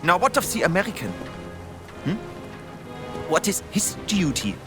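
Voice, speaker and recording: An actor playing a fanatical, sneering Nazi officer in a video game, theatrical and grandiose, with a German accent. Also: male voice, sits high, big pitch swings, a little slow, music in the background. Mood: fervent contempt. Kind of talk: cinematic video game villain acting.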